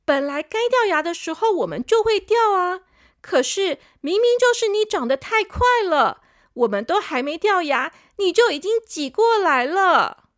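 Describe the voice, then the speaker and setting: Scolding big-sister tooth done by a woman narrator, high and worried. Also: very animated, a little slow, no echo, clean studio sound. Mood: fretful. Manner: fretful, scolding.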